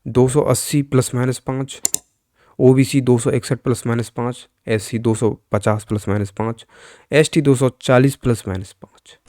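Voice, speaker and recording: A man's voice: mid-range voice, warm tone, no room sound, clean studio sound. A man presenting exam news as an informal explainer, instructing viewers directly and often repeating himself. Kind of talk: informal explainer commentary over on-screen figures.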